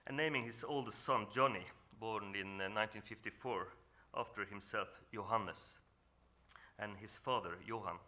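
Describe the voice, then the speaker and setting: A man with a Norwegian accent speaks in a fairly low but bright, forward tone. He talks earnestly and calmly, and he often stops and restarts as he searches for his words. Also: normal speed. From northern Norway. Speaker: panel speaker. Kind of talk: reflective presentation at a symposium.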